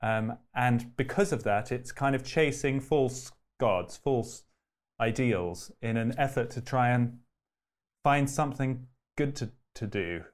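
A man hosts an interview and asks long, earnest questions. He often rephrases mid-sentence while searching for the right words, in an ordinary mid-pitched voice. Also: slow. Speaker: interview host.

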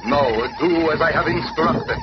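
An actor playing an entranced sea monarch in a radio drama, speaking in a solemn, formal, commanding way. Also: male voice, on the high side, a little slow, natural voice. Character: commanding male sea monarch under a trance. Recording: very noisy, muffled old radio recording.

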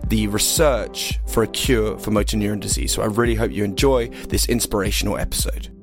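A man's voice: on the low side, fairly even intonation, fairly quick, over music. A young man speaking as a podcast host, introducing and interviewing his guest. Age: young adult.

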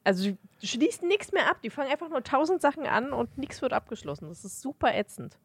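A woman's voice: sits high, sing-song, fast, breathy edge. A woman co-hosting a podcast, chatting casually as a fan. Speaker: podcast co-host.

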